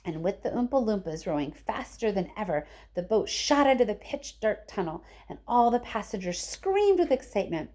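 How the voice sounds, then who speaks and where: A woman reading a children's book aloud in an expressive storytelling style. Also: medium pitch, moderate pace, few pauses. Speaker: storybook reader, teacher.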